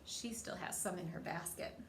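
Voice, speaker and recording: A woman's voice: lowish voice, moderate pace. A woman reading a picture book aloud to young children as a storyteller, expressively and with questions to her listeners. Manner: expressive, acts out dialogue, asks listeners questions. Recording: roomy echo, faint background noise.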